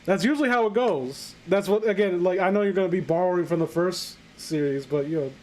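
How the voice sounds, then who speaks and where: A man's voice: high voice, fairly quick, darkish tone. A man hosting a live stream, chatting casually and bluntly about films, rambling and swearing as he gives opinions.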